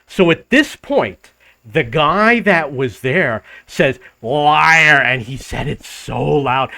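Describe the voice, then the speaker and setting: An older man, host of his own travel channel, telling a rambling personal story to the camera in a loud voice. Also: a little high, animated, slow pace, gravelly, no room sound. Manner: rambling, restarts and loops back in the story. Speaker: vlogger living abroad.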